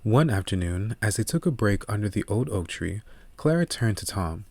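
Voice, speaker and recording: A man reading scripted audiobook narration in a measured, earnest way, close to the microphone. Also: on the low side, big pitch swings, darkish tone, no echo.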